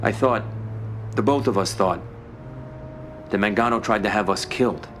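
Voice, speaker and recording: A man plays a loyal underboss in a game cutscene. His American voice is on the low side, earnest and deferential, set over slight background noise. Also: moderate pace, a bit bright, natural voice. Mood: apologetic. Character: loyal, apologetic young mafia don. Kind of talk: video game cutscene acting.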